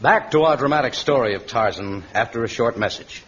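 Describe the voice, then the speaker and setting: A man's voice: mid-range voice, fairly quick. A man narrating an old radio adventure serial in a dramatic, scripted announcer style. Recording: dull vintage radio recording. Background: music.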